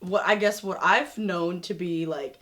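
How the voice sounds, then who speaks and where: A woman co-hosts a relaxed podcast chat, teasing herself with a playful edge. Her voice is on the low side for a woman. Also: unhurried. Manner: playful, self-mocking. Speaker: podcast co-host. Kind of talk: casual chat.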